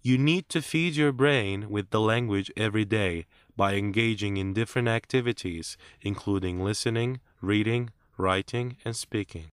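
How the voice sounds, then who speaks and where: Man, lowish voice, measured scripted reading, dry close sound. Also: smooth, no room sound. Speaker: test narrator and interviewee. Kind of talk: scripted listening-test reading.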